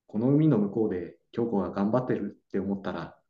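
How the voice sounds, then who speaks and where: A young man acting a calm, gentle boyfriend in a slow, clear radio drama for learners. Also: lowish voice. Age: young adult. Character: Naoto, young man staying in his hometown. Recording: dull lo-fi audio.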